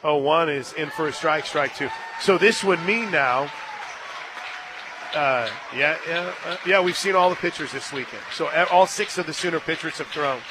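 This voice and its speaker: A man calling a live softball game on radio from a stadium booth, climbing into excited shouts on big plays and laughing easily. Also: fairly high pitch, moderate pace, a crowd in the background, background noise. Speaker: radio play-by-play announcer. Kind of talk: live radio sports play-by-play.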